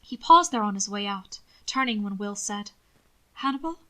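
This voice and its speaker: A woman's voice: medium pitch, lively intonation, moderate pace, bright, forceful tone. A woman reading scripted fiction as an audiobook narrator. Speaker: podfic narrator. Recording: occasional static crackle from microphone.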